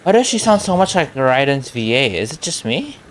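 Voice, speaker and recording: A man's voice: medium pitch, sing-song, brisk, low-quality recording. A man doing casual live game commentary, muttering to himself as he plays. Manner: muttering to himself while playing. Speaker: game streamer.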